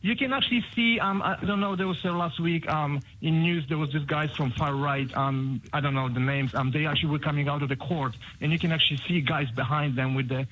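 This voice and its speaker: A man's voice: a little high, fairly even intonation, a little fast, few pauses, a bit bright, poor audio. A man from Poland with a Polish accent, calling a radio phone-in, nervous and hesitant with many ums. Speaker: caller. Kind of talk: phone-in call.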